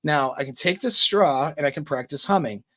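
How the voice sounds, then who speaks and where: A man giving relaxed, chatty dating-coach advice on a livestream, speaking close to a microphone. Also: moderate pace, dry recording. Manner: demonstrates voice pitch changes, relaxed and chatty. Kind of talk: casual livestream coaching talk.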